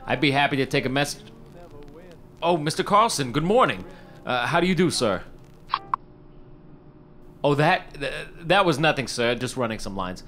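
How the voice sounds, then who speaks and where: An actor playing an eager, fast-talking struggling screenwriter at a hotel desk in a period radio drama, wisecracking and pleading, stammering when flustered. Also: male voice, medium pitch, expressive, a bit bright, natural voice. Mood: wry, evasive, then deferential, nervous.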